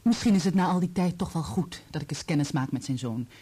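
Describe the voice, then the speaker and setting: An actress in a Dutch radio play playing a composed, candid divorced woman who speaks in a measured, probing way. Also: low voice, dark tone, breathy edge. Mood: candid, reflective.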